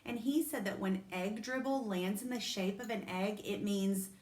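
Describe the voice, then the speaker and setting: A scheming little boy who talks in hushed, theatrical tones, as a woman reading a storybook does him. The voice stays close to her own, lifted slightly, in an echoey room. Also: mid-range voice, moderate pace, pitched above the performer's own voice, natural voice. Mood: dramatic.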